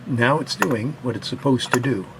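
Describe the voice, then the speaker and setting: Man, mid-pitched, gravelly, methodical repair narration, faint background noise. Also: moderate pace. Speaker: hobbyist repair video host.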